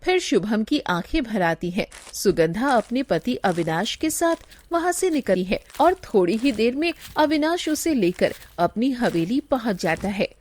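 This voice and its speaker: A woman narrates a cartoon moral story from a script. Her voice sits somewhat high, and she tells the tale as a storyteller. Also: very animated, unhurried, few pauses, a little mellow.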